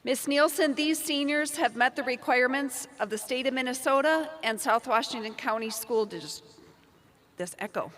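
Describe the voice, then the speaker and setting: A woman's voice: high voice, fairly bright tone. A woman principal formally presenting a graduating class at a ceremony. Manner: formal, measured. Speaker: school principal. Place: large hall.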